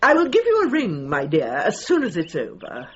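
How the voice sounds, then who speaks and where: Very animated, firm, kindly vet. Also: female voice, on the low side, normal speed, dull audio, a little hiss or hum. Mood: reassuring. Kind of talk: radio comedy drama acting.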